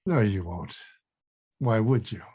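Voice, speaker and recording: A man's voice: lowish voice, expressive, takes it slowly, warm tone, breathy edge, exaggerated voice. A man playing a laid-back, folksy pal with a menacing side in a table read. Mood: cold.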